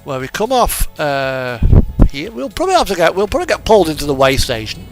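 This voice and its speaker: A man with a slightly high, very animated voice and a noticeable creak. He gives casual, rambling game commentary, thinking aloud as he drives. Music plays behind him along with vehicle sound. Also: a little fast. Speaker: video game streamer narrating a truck simulator drive. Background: music and vehicle engine sound.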